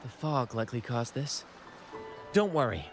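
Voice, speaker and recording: An actor playing a flamboyant, cheerful ship's host welcoming travelers. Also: male voice, medium pitch, lively intonation, moderate pace, over music, natural voice.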